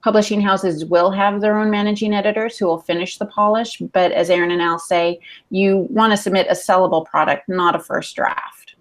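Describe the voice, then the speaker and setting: A woman's voice: mid-range voice, steady tone, moderate pace. Female podcast panelist, mid-range voice, editor offering practical, conversational advice.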